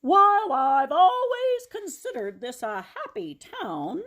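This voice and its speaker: Woman acting out a high, loud, impassioned storybook pastor. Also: huge pitch swings, takes it slowly, heavily acted. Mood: impassioned, earnest. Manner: declamatory, emphatic speechmaking. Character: impassioned pastor addressing a town meeting. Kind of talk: children's storybook read-aloud.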